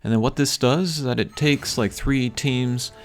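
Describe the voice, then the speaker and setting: A man giving casual, unscripted gameplay commentary in a rambling, low-key way with frequent hesitant pauses. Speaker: game video creator. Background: video game music.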